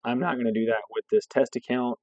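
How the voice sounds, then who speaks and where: Hoarse man walking through software steps. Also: mid-range voice, fast talker, dry recording. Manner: steady step-by-step explaining, frequent "okay" check-ins. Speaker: fire district staff trainer. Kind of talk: screen-recorded software walkthrough.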